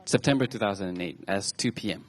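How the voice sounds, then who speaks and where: A man in his twenties from Thailand, telling personal stories to an audience on a stage talk show in a chatty, halting way with frequent restarts. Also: fairly low pitch, heavy background noise. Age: 20s.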